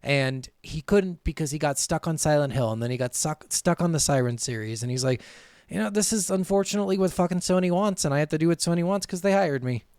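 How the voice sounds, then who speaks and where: A man's voice: a little high, quick pace, darkish tone, no room sound. Profane, blunt male gaming podcaster, dry sound. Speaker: podcast co-host. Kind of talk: casual gaming podcast chat.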